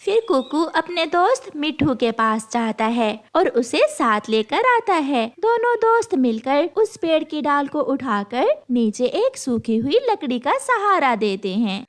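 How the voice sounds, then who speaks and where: A woman's voice: sits high, sing-song, measured pace, few pauses. A woman reading scripted narration for a children's cartoon story.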